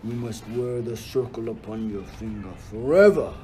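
A man's voice: mid-range voice, expressive, moderate pace, mellow, close to an everyday voice. A man playing a vengeful king of the gods in a radio play, pompous and declamatory. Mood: commanding. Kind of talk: amateur radio play acting. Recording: slightly noisy amateur recording.

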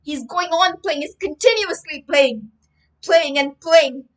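Young woman, slow and emphatic teacher reading to kids, slightly high voice. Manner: expressive, emphatic reading with frequent word explanations. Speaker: teacher, storyteller. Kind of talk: reading a story aloud and explaining vocabulary. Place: home room with bookshelves. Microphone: webcam framing, livestream.

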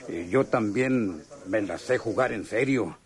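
An actor playing a chummy but bitter rural Mexican man from a poor family in a radio drama, talking in a halting, folksy way. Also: lowish voice. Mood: chummy, eager. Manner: colloquial, halting, folksy storytelling. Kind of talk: radionovela acting.

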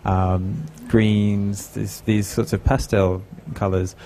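Talk host, light background hum. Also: male voice, lowish voice, flat delivery, slow, warm tone. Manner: fluent, searching for words. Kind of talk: interview host. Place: art fair booth, indoors. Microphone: handheld mic.

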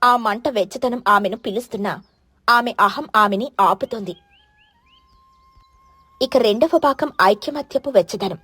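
A woman's voice: a little high, measured pace, long pauses, fairly bright tone, husky, dry recording. A woman storyteller reading a scripted children's moral story as narration.

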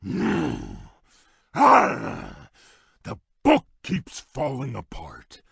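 An actor playing a large, shy, polite beast prince in a video game, speaking in a courteous, formal, earnest way with a husky voice. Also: male voice, huge pitch swings, extremely slow, fairly bright tone, dry recording, clean studio sound, heavily acted. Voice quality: deep husky voice. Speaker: game character. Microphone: dry close studio mic.

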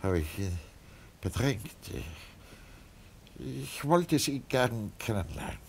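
An anxious, creaky-voiced sickly father. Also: medium pitch, sing-song, a little hiss or hum, heavily acted. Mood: evasive, feeble. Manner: halting, hesitant, flustered. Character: anxious, evasive, sickly father, bedridden and lonely. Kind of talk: radio crime drama acting.

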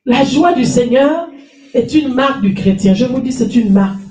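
A woman's voice: on the high side, expressive, normal speed, warm tone. Woman pastor, middle-aged, with a cold; emphatic sermon, handheld mic; echoey hall, distant mic. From France. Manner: emphatic preaching, repeating phrases, calling for amens. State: has a cold. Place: small church hall with stage and lectern. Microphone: handheld mic, far camera.

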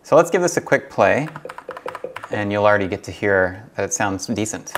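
Young adult male host, lowish voice, informal how-to delivery, thinking out loud. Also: expressive, normal speed. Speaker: hobbyist host demonstrating tape transfer. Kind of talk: casual tutorial vlog talk. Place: small furnished rooms at home, indoors.

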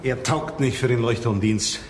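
An actor playing a bitter, resentful lighthouse keeper in a German radio crime drama. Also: male voice, medium pitch, steady tone, moderate pace, in a large hall, background noise, close to an everyday voice.

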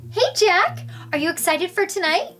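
A woman playing a sweet, excitable young princess in a bubbly, girlish cartoon voice. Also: extremely high pitch, moderate pace, over music. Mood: cheerful.

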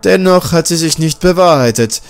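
A man's voice: on the high side, moderate pace, clean tone, voice pushed up, natural voice. A man voicing a polite, cynical male mad-scientist doctor who speaks with mocking courtesy. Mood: menacing polite. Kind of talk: audiobook character reading.

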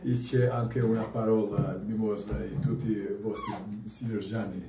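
A man in his sixties from Kosovo, a native Albanian speaker, presenting his own paintings in halting Italian full of restarts. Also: fairly low pitch, little pitch movement. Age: 60s. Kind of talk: casual exhibition talk. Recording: echoey room from far off, faint noise.

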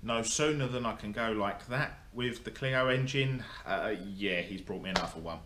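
Deep-voiced, rambling man in a workshop. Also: moderate pace, fairly bright tone. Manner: rambling, blunt, many ums and restarts. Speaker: motorsport vlogger. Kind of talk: casual update to camera. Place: indoor workshop. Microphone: camera in front of him. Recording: echoey workshop, faint hum.

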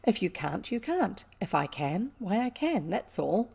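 A woman voicing a bright, imaginative schoolgirl in a storybook, earnest and deliberate, with old-fashioned kindness. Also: medium pitch, big pitch swings, creaky, slightly rough, full character voice. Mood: kindly reasoning.

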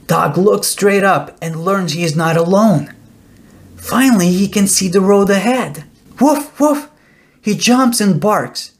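A middle-aged man narrating a children's bedtime story in a deliberate, expressive storytelling way. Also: high voice, gravelly. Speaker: author reading own children's book.

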